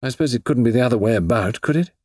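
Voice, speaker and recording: A man voicing a nonchalant, self-assured gentleman writer in an audiobook, urbane, chatty and a little wheedling. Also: medium pitch, animated, rapid-fire, slightly rough, no echo, voice pushed up. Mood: wry. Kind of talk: audiobook character voice.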